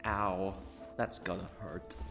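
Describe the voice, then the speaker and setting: A man's voice: sits low, level tone, slow pace, creaky, long reverb tail, music in the background. A man giving casual, offhand muttered reactions as he plays through a video game. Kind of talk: casual game playthrough commentary.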